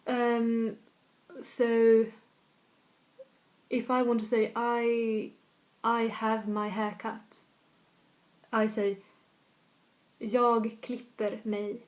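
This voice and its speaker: A woman's voice: a little high, level tone, very slow, long pauses, darkish tone, poor audio. A woman from north of Stockholm with a Swedish accent, chatting casually as a language tutor and repeating example phrases. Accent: Swedish, slightly northern. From Sweden, north of Stockholm. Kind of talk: casual video lesson.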